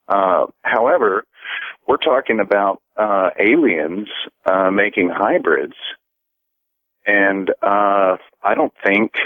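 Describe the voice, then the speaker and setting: Man, radio caller, low-pitched, halting and earnest, narrow telephone audio. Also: no echo. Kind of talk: earnest call-in conversation. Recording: narrow phone-line sound.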